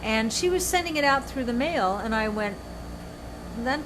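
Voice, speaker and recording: An older woman hosting her show, telling stories in an animated, emphatic way with many restarts. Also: on the high side, normal speed, background noise. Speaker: show host and author. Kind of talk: casual chat.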